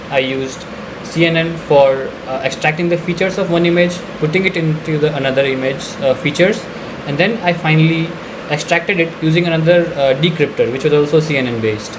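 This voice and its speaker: A man giving an unscripted software walkthrough in a halting, hesitant way with frequent filler pauses. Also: on the high side, background noise. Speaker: software demo presenter. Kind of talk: unscripted screen-share walkthrough narration.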